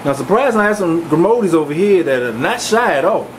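A man giving a relaxed, rambling walk-through of his home aquarium room, speaking casually with many fillers into a handheld camera. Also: a little high, fast talker. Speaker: fish breeder giving a tour. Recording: camcorder audio with fan hum.

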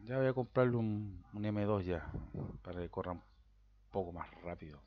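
A Chilean man with a Chilean Spanish accent, his voice a bit low, talks through a software install on his computer. He rambles, repeats words and keeps checking in with listeners. The sound is dull and lo-fi, caught by a simple computer mic. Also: normal speed. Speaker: software tutor. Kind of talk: casual screen-recorded tutorial.

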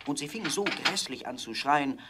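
A man narrating a scripted fairy tale for children in a measured, dramatic storytelling manner. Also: on the high side, lively intonation, very noisy.

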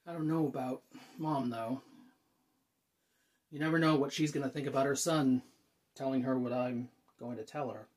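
Man acting anxious, halting thirty-year-old, widely swinging pitch. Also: animated, slightly rough, heavily acted. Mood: nervously joking. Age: 30s. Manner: halting, nervous, sighs and stammers. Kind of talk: dramatic monologue, self-taped acting.

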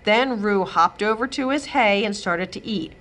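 A woman reading a children's chapter book aloud, giving the characters expressive, lively voices. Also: mid-range voice, normal speed. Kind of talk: storybook read-aloud. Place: library, indoors.

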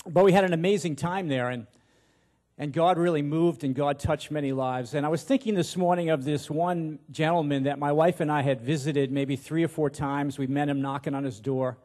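Man in his sixties, pastor, somewhat high pitch, informal sermon, folksy, self-deprecating, halting delivery, handheld mic in a church. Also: a little mellow. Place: large church auditorium, on stage at a pulpit. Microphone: handheld microphone.

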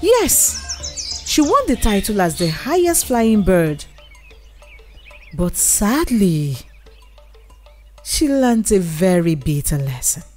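A woman narrates a folktale with a very lively, swooping pitch. She leans on key words and draws them out for drama. Soft percussive music plays faintly behind her storytelling. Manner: dramatic, drawn-out emphasis. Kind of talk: expressive children's folktale storytelling.

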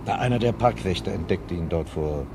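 A gruff police chief inspector in an old radio crime drama. He speaks on the low side with mocking, needling sarcasm. The vintage recording carries some faint noise. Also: lively intonation, a little fast. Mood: matter-of-fact. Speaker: actor in a radio crime play. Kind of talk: 1970s German radio crime drama dialogue.

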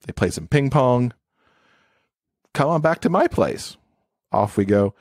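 A man's voice: mid-range voice, very animated, measured pace, a little mellow, dry recording, clean studio sound. A man talking casually and enthusiastically as a guest on a podcast. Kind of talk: casual chat.

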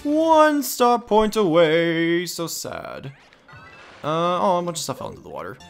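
A man's voice: fairly high pitch, very animated, unhurried, creaky. A man hosting a let's play with casual, goofy, rambling gameplay commentary. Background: video game music.

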